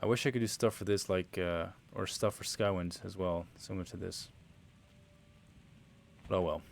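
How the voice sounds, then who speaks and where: Low-voiced Dutch man streaming casually. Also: normal speed, gravelly. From Netherlands. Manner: relaxed, rambling, chatting to viewers while playing. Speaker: game streamer. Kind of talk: casual livestream commentary.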